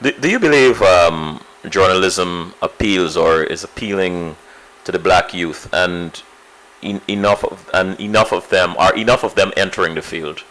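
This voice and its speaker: A man's voice: deep voice, moderate pace, fairly bright tone, poor audio. A male interviewer who asks questions and listens attentively, with frequent "mm-hmm"s.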